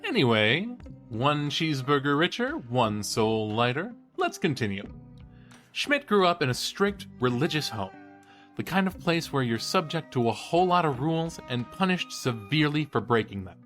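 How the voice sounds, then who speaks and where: Animated young college guy podcasting. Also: fairly high pitch, moderate pace, soft background music. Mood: eager, explanatory. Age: young adult. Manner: playful, casual, animated storytelling. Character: cheeky, enthusiastic male college student podcaster. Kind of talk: bantering, conversational podcast-style audio drama.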